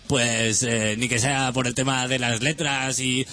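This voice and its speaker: Bright-voiced man, halting radio chat. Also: medium pitch, level tone. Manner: hesitant, full of "eh" fillers and restarts. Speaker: radio music show host. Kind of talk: casual rambling radio chat.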